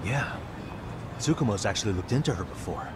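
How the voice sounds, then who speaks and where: A man's voice: fairly low pitch, animated, a little fast, heavy background noise, natural voice. An actor playing a cool, level-headed investigator in his thirties, speaking matter-of-factly in an English game dub. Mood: businesslike. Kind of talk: English dub video game drama acting.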